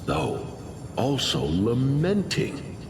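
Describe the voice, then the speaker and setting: A man playing a grave teller of ancient myth in a video game, narrating in a solemn, deliberate, portentous way. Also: medium pitch, sing-song, music in the background, exaggerated voice. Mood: solemn, reverent.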